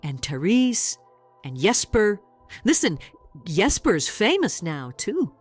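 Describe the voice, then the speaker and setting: A man voicing a doting, gently insistent elderly mother in an audiobook drama. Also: high voice, huge pitch swings, takes it slowly, soft background music, pitched above the performer's own voice, full character voice. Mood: coaxing, fond.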